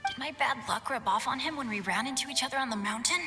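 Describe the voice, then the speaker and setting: Anxious, high-voiced boyish adventurer. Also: female voice, quick pace, bright, forceful tone, soft background music. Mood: worried, touched. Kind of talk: video game cutscene voice acting.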